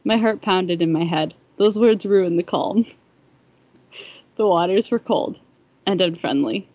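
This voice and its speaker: A woman's voice: fairly low pitch, measured pace, a little mellow, muffled recording. A woman reading a first-person story aloud on a podcast, sometimes stumbling over the words. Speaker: fan fiction podcast reader.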